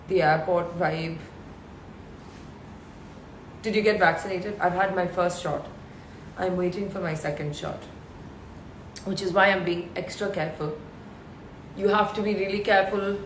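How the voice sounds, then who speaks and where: A woman in her thirties with a deep voice, chatting casually with fans on a live stream and reading out their questions. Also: reverberant, a little hiss or hum, poor audio. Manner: chatty, laughs often, reads out questions.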